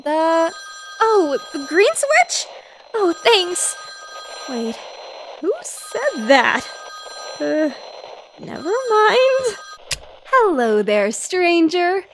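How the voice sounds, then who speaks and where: A woman performs a feisty young newswoman in 1940s radio style. Her voice sits high and swings widely in pitch. She is brash and defiant, and gets flustered easily. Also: very animated, noisy background, exaggerated voice. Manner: brash, quick to get flustered. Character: feisty young female newspaper reporter, flustered but defiant. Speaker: reporter filling in as radio host. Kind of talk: 1940s radio drama acting.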